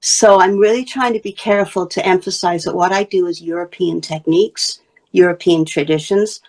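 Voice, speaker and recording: Gravelly low-voiced woman from Wales. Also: steady tone, normal speed, darkish tone. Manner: chatty, explaining her craft while showing pieces. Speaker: basketry artist and teacher. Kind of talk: casual interview talk.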